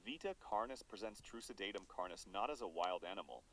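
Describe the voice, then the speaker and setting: Lowish male voice, animated ominous delivery, scripted horror documentary narration, dramatic. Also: fast talker, a little hiss or hum.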